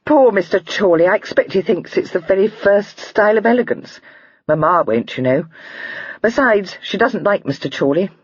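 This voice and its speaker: A woman reading aloud, voicing an excitable, teasing young lady in a high, breathy, girlish voice. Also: normal speed, dry recording, muffled recording. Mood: chattering.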